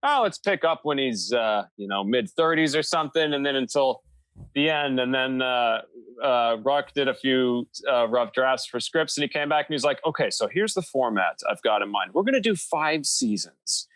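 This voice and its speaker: A man's voice: mid-range voice, expressive, normal speed, fairly bright tone, no room sound, low-quality recording. A man speaking as a podcast guest in a casual panel discussion, explaining creative work in a rambling, self-interrupting way.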